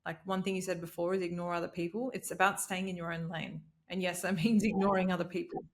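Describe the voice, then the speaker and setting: A woman's voice: fairly low pitch, little pitch movement, quick pace, a crowd in the background. A woman in her late twenties in a casual podcast conversation, speaking in an earnest, motivational way.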